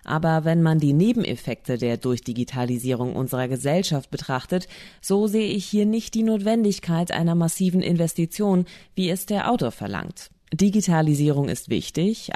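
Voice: mid-range voice, mellow, dry recording, clean studio sound. A person reading out written reader comments as quotations in a commentary podcast.

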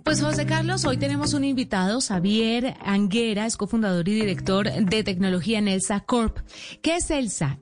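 A woman's voice: a little high, a little mellow. A woman hosting a radio show, introducing a guest and asking formal questions. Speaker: radio host.

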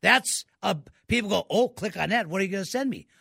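A man's voice: sits high, animated, a little fast, gravelly, sharp tone, breathy edge, no room sound, studio quality. A man, co-hosting a radio call-in show and giving tech advice with enthusiasm, repeating words for emphasis. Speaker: tech expert co-host.

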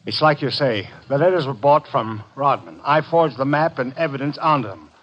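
An actor playing a surly, evasive swindler in a 1950s radio mystery, talking in blunt, tough slang. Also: male voice, medium pitch, lively intonation, normal speed, close to an everyday voice. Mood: resigned. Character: surly, evasive male swindler and map forger. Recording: dull vintage 1950 radio recording.

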